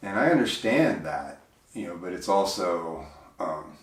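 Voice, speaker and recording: A man with a big, deep voice, speaking as an interview guest in a reflective, hesitant way, full of fillers and restarts. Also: expressive, a little slow, far from the mic, background noise. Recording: roomy, voice from off mic.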